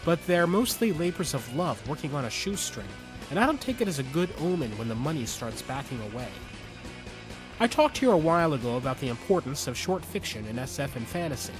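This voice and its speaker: A man with a slightly high voice delivers scripted commentary and story narration. He is earnest and measured, phrasing things deliberately. Music plays clearly behind him. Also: sing-song. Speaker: podcast host and story reader.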